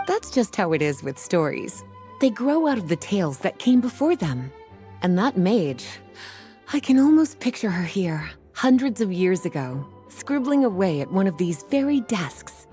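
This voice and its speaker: Game librarian mage, adult woman played by an actress: velvety and mellow, very animated pitch swings, teasing, music behind. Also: normal speed, natural voice. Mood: musing, intrigued. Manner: teasing, leisurely storytelling. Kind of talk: video game voice acting.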